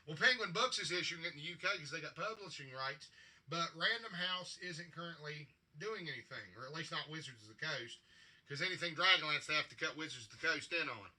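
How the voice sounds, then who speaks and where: Male hobby vlogger, somewhat high-pitched and very sharp-edged, rambling, blunt, foul-mouthed, addressing viewers informally. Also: low-quality recording. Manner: blunt, salty, frequent swearing. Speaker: tabletop gaming hobbyist and vlogger. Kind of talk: casual rambling vlog talk to viewers.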